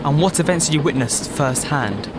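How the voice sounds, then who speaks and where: An actor playing a proud, excitable army lieutenant in a First World War audio drama, formal and clipped. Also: male voice, a little high, normal speed, very noisy, natural voice. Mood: challenging, grave. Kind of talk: period audio drama acting. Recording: very loud battlefield and vehicle ambience.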